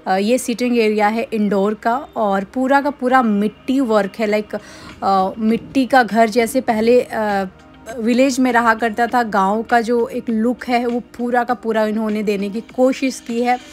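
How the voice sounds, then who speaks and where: A woman's voice: a little high, steady tone, measured pace. A young woman vlogger narrating a casual voice-over tour, chatty and full of "uh" fillers, slipping English phrases into her talk. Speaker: travel and food vlogger. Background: soft background music.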